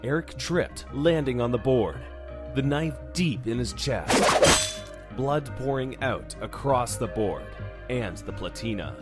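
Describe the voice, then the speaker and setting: Man, horror story narrator, scripted delivery, medium pitch, music behind. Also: animated, slow.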